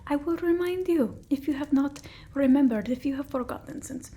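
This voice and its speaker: A woman voicing a haughty centuries-old female vampire, breathy and often whispering, in an ASMR roleplay. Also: sits high, normal speed, dark tone, close to an everyday voice. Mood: bored, bossy. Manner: broken old-world English, dramatic and haughty. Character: theatrical female vampire, centuries old, bored, demanding, scornful of humans.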